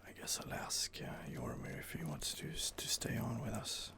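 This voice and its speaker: A man whispers an ASMR roleplay in a gravelly, fry-laden hush. His low voice still swings widely in pitch, with soft, hesitant fillers between phrases. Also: sing-song, moderate pace.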